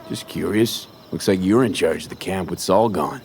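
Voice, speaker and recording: A man's voice: low-pitched, moderate pace, creaky, a little mellow, slight background noise. A man playing a dry, laconic mercenary hero in a video game, speaking tersely and wryly. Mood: wry probing. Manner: terse, wry.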